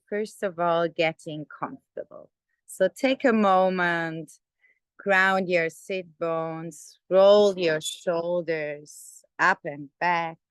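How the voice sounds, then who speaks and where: Slow, smooth woman guiding meditation. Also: on the low side, dry recording. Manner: gentle, unhurried, with many pauses. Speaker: meditation teacher. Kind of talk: guided meditation. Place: online video call session.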